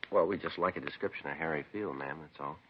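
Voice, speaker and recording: An American actor playing a stoic, polite police detective in a 1950s radio drama, speaking in a terse, matter-of-fact way. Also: male voice, very deep voice, quick pace, breathy edge. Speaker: narrator and lead actor. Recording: dull 1950s radio broadcast recording.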